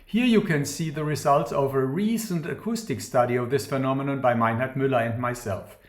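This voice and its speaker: A man gives a scripted academic lecture in a formal, measured, precise manner. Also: mid-range voice. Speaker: academic researcher presenting a talk. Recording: roomy, echoing sound.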